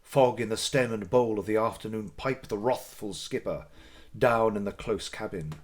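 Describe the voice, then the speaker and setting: A man narrating classic literature as a dramatic audiobook, with theatrical, deliberate phrasing and pauses for effect. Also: lowish voice.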